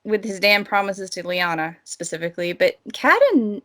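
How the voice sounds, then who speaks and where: A woman's voice: medium pitch, moderate pace, a bit bright. Sarcastic woman in casual book talk. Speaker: podcast co-host.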